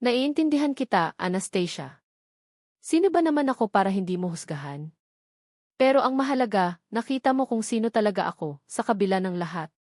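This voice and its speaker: A woman voicing a gentle man, a former doctor now working as a security guard, who speaks with tender humility. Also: mid-range voice, sing-song, a little fast, dry recording, clean studio sound. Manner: tender, humble.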